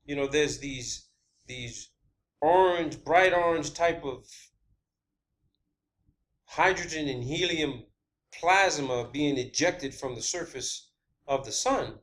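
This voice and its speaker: A man with a somewhat high voice talks through his ideas as a video host. His delivery is informal and halting, circling back to repeat words for emphasis, with a blunt edge. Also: a bit bright. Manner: blunt, swearing. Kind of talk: informal theorizing monologue.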